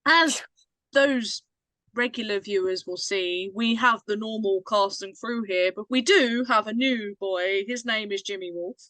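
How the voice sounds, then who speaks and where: A woman's voice: medium pitch, a little slow, bright, forceful tone, no room sound, poor audio. A woman hosting a group roundup in a casual, chatty style. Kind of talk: casual chat.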